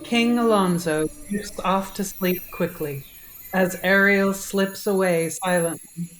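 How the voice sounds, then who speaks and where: A woman's voice: medium pitch, steady tone, slow, rough recording. A woman narrating an audio play in a scripted storytelling style. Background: music.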